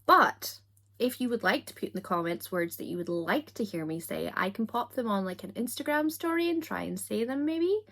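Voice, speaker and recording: A young Scottish woman with a softened accent, chatting casually to camera in a self-deprecating, giggly way. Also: medium pitch, expressive, normal speed, a little hiss or hum. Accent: Scottish, softened toward standard British. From Scotland. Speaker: YouTuber.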